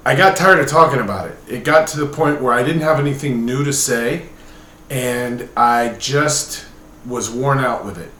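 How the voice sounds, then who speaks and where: A man's voice: mid-range voice, fairly bright tone. A man in his late forties giving a casual personal update to camera as a vlogger, speaking candidly and a little hesitantly. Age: late 40s. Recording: roomy echo with background noise.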